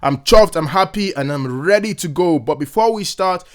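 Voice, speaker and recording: A man's voice: sits high, animated, moderate pace, a crowd in the background. An upbeat man hosting a sports podcast, chatting loosely and teasing his co-hosts. He talks at an easy conversational clip and keeps the energy up. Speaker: podcast host. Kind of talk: casual sports podcast chat.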